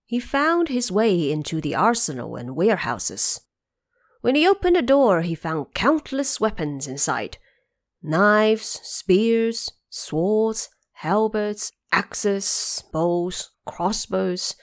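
Female narrator for fantasy audio story: measured pace, animated intonation, dramatic delivery, mid-range voice. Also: mid-range voice. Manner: dramatic, expressive. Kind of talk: scripted narration of a fantasy tale.

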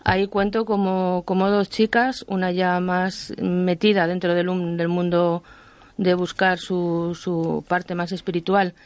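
A woman's voice: fairly low pitch, level tone, a little slow, clear, resonant tone, dry recording. A woman from Madrid with a Madrid accent, a guest author chatting in a radio interview and acting out the voices she quotes. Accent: Madrid Spanish. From Madrid, Spain.

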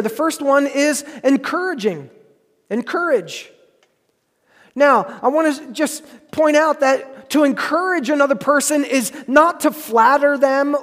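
A man preaching a sermon as pastor, earnest and emphatic, repeating phrases for stress. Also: very high-pitched. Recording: preached in a hall with long reverb.

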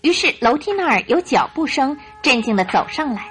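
A woman narrator giving scripted, expressive Mandarin storytelling for a radio drama. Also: sits high, brisk, soft background music.

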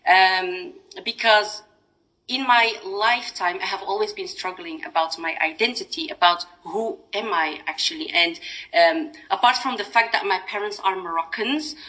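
Bright-voiced Belgian woman reflecting aloud. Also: medium pitch, moderate pace, rough recording. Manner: thoughtful, searching for words, with restarts and fillers. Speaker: interview guest. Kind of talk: reflective interview conversation.

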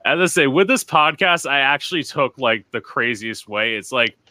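Young Florida man, podcast host, bright and sassy, close dry recording. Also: mid-range voice, animated, moderate pace, no echo. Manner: chatty, lots of "like", sassy. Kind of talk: casual chat.

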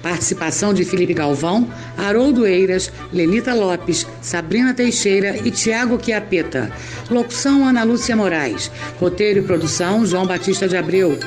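A woman's voice: mid-range voice, moderate pace, darkish tone, faint music behind. A woman with a Brazilian accent reading scripted credits as an announcer.

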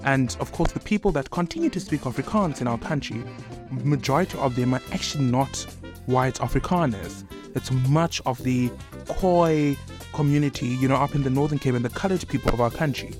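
A young man with a South African accent, a high school teacher speaking earnestly as a podcast guest, peppering his points with "you know". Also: brisk, over music. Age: young adult. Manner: earnest, opinionated, many fillers like "you know". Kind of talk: casual podcast conversation.

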